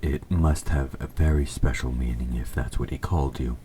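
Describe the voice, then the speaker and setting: A man playing an evil king in an audio roleplay, speaking to the listener in a formal, measured, politely sinister way. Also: very deep voice, steady tone, warm tone. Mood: pleased, scheming. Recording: faint background noise.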